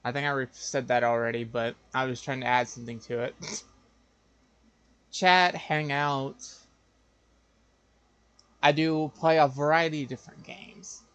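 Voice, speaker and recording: A man chatting casually as a live game streamer, rambling and halting, often repeating himself. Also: medium pitch, fairly even intonation, fairly bright tone, smooth, no room sound.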